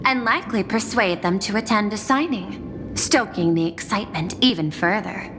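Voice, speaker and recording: A woman playing a poised, persuasive executive in a video game, formal and measured, with grand confidence. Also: mid-range voice, background noise, natural voice. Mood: courteous, assured. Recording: long reverb, music behind.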